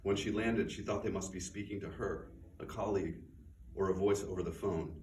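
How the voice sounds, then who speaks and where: A man, an author, reading his own fiction aloud at a bookstore event in a measured, subdued way. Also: sits low, steady tone, darkish tone. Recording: roomy, distant, faint noise.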